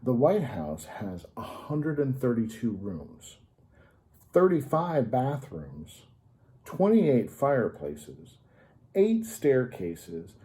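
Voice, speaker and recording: A man's voice: mid-range voice, mellow. A man hosting a children's story time, patiently explaining things in simple terms in an informal, educational read-aloud style.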